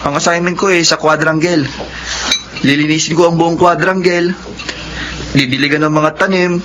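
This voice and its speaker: Actor as an earnest, higher-voiced young Filipino student. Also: male voice, steady tone, moderate pace, lightly acted. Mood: earnest, confiding. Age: young adult. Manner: earnest, polite. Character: earnest, humble young male working student. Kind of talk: Filipino radio drama acting. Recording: noisy radio drama recording.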